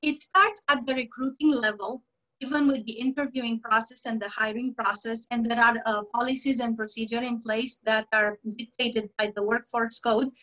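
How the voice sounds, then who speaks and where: A woman from Romania, a guest on a podcast explaining her work as a diversity trainer in a measured way, with frequent pauses and fillers. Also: fairly high pitch, steady tone, bright, forceful tone, poor audio. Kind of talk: podcast interview.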